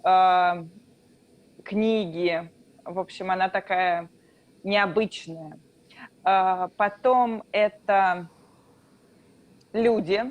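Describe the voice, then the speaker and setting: A woman from Russia, a Russian teacher, explaining things calmly in a casual interview with drawn-out fillers. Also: on the low side, dull audio.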